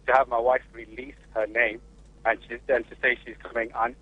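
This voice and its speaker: A man's voice: medium pitch, flat delivery, a little fast, husky, no room sound. Zimbabwean man, panel caller, tinny dry phone line, hesitant, restarts a lot. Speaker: panelist, father. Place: remote call from the UK.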